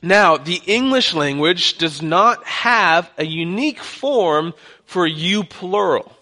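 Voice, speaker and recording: Bright-voiced young Texan preacher. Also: male voice, high-pitched, lively intonation, slow pace. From Texas. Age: young adult. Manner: joking, conversational preaching. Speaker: guest pastor. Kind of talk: sermon.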